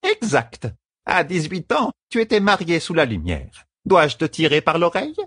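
A male reader plays a stern old woman servant from an audiobook. The voice is pitched very high and slightly husky, scolding and bossy, brooking no argument. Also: very animated, moderate pace, fairly bright tone, dry recording, studio quality. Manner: imperious scolding.

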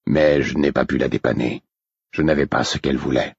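A man's voice: very deep voice, fairly even intonation, a little fast, creaky, slightly rough, dry recording, highs cut off, voice pushed down, full character voice. A man voicing a guarded, plain-spoken male healer in an audiobook, reticent and uneasy. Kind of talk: audiobook character acting.

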